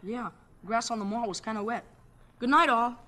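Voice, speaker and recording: An actor playing an excited, earnest young boy in a radio drama, gushing eagerly in boyish slang. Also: mid-range voice, normal speed, natural voice. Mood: subdued, matter-of-fact.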